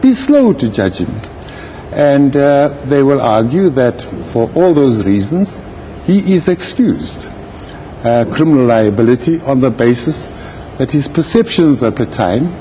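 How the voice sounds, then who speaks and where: A man speaking as a legal commentator in an interview, with a measured, deliberate manner and formal wording. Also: medium pitch, mellow, a little hiss or hum, low-quality recording. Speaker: legal commentator, retired judge. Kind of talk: live interview commentary on a court case.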